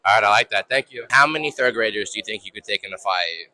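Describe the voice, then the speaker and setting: A man's voice: on the low side, expressive, fast talker, sharp tone, low-quality recording. A teenage boy reporter asking people silly questions in a casual, cheerful way. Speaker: student reporter. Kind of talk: man-on-the-street interviews.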